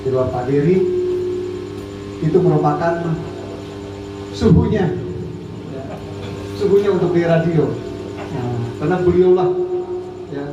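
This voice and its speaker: A man's voice: fairly high pitch, expressive, unhurried, long pauses, highs cut off. A man from West Java giving an informal, chatty speech to a community radio gathering as an army district officer, peppering his talk with fillers. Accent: Indonesian, not typically Sundanese. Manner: chatty, frequent "ya kan" and "nah" fillers. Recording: echoey room, distant mic, music behind.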